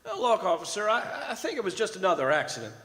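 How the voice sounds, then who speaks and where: A man acts an oil crew boss in a live radio drama, practical and short on patience. The voice is notably high for a man, with bossy, plain-spoken delivery. It echoes through a reverberant hall. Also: sing-song, fast, a bit bright. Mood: persuading. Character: impatient, practical male oil drilling boss. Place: performing arts center stage.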